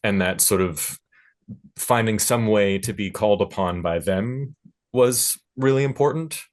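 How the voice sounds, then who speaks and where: Male panelist, low-pitched, reflective and halting, talking through his thoughts as a music producer. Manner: halting, thinking aloud, hedging with "sort of". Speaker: panelist, record producer and recording engineer. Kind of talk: panel discussion talk, reflective.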